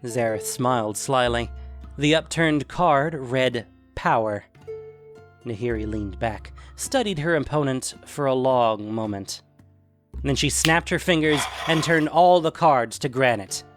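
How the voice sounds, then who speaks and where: A man's voice: medium pitch, unhurried, faint music behind. A man reading scripted fantasy fiction as a dramatic narrator.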